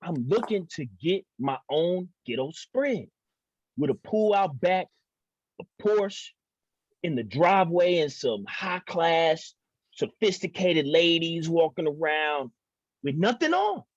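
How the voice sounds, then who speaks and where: A man's voice: on the high side, big pitch swings, takes it slowly, no room sound, exaggerated voice. An actor at a table read playing a young man hustling his grandfather for money, wheedling and indignant. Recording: dull, dry lo-fi audio.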